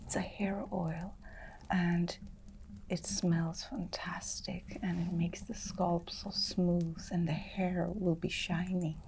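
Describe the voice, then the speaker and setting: A woman voicing a kind, caring female innkeeper who tends a guest in an ASMR roleplay, speaking in a soft, soothing whisper. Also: on the low side, level tone, slow, gravelly, background noise.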